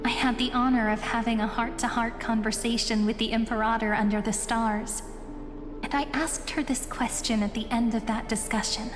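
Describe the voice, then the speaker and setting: Game narrator role: reflective female chronicler, actress, fairly high voice, earnest storytelling, music behind. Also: steady tone, moderate pace, long reverb tail, close to an everyday voice. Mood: wistful, reflective. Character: reflective female chronicler recalling her ruler's life.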